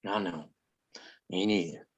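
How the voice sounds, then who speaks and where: Guy doing a tired but determined old west lawman at a table read, lowish voice. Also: unhurried, dull audio. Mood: subdued. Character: weary, resolute Old West lawman facing death. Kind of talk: live table read of a western screenplay.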